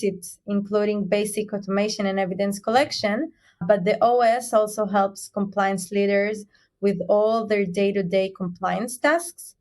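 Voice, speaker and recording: A woman from Chile, a native Spanish speaker, giving explanatory answers as an interview guest and restating her points. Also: mid-range voice. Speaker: guest, head of information security.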